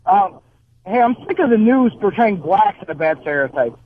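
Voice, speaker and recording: A man's voice: sits high, very animated, normal speed, slight background noise. A man calling a radio show on a dull phone line, chatting casually. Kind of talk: casual chat. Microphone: phone call.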